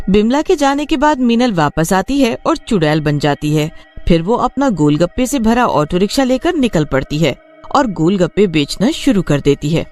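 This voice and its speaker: Animated woman narrating a story. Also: fairly low pitch.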